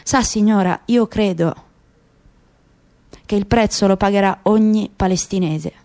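A woman voicing a grave, proud, disillusioned Palestinian father in a radio play, with solemn, deliberate, impassioned speech. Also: medium pitch, warm tone, lightly acted. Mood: grave resignation.

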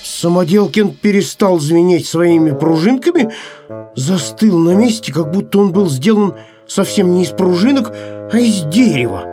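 Expressive male children's story narrator. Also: high voice, normal speed, over music.

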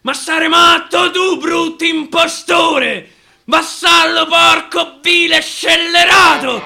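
A man's voice: very high voice, fairly even intonation, takes it slowly, creaky, sharp tone, raised voice, voice pushed up, exaggerated voice. A man playing a betrayed explorer in a radio theatre verse monologue, indignant and declamatory, with a Roman accent. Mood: furious. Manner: indignant, declamatory rhetorical questioning. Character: betrayed explorer raging at an ungrateful king.

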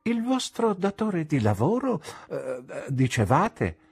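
A man voicing a gentle, simple-hearted older man who speaks haltingly. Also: fairly high pitch, sing-song, creaky, breathy edge, pitched above the performer's own voice, exaggerated voice. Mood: flustered.

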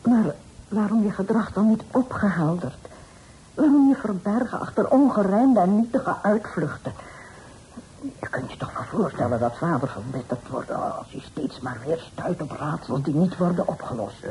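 A woman's voice: on the low side, animated, moderate pace, mellow, close to an everyday voice. An actress playing a worried, reproachful mother who sighs and pleads formally in a period radio drama. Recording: noisy old radio play recording.

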